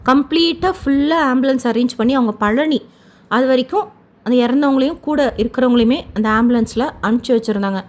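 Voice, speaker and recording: A woman's voice: high voice, fast talker. A woman hosting an informal news update, informative and mixing English words into her speech. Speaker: channel host giving pilgrimage updates.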